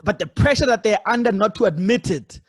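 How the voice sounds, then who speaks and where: A man's voice: sits high, lively intonation, fairly quick, slightly rough. A man hosting a podcast, chatting casually with his guest and interjecting often in agreement. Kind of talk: casual interview chat with frequent affirmations.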